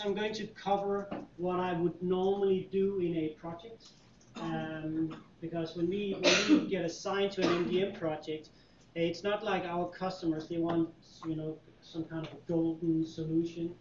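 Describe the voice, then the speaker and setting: A middle-aged man with a Danish accent, giving a relaxed, chatty tech talk with many fillers and restarts. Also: sits high, moderate pace, a little hiss or hum. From Denmark. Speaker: conference presenter, consultant. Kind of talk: user group tech presentation. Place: meeting room. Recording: echoey room, distant mic, dull lo-fi sound.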